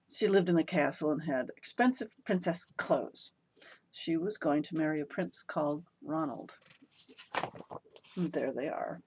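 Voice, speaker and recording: A woman reading a picture book aloud as a storyteller, expressive, with a playful, chatty way of addressing the listener. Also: deep voice, measured pace, slight background noise, poor audio. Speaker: children's story reader.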